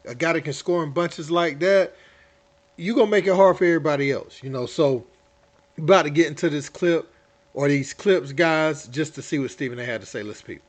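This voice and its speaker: A man's voice: fairly high pitch, brisk. A man hosting casual sports commentary, talking to viewers in a colloquial, slangy way.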